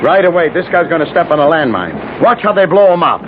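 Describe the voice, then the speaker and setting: An actor playing a naive, plain-spoken working-class husband who chats away in a 1970s radio drama. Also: male voice, a little high, big pitch swings, brisk, a little hiss or hum. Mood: excited. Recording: dull lo-fi old radio.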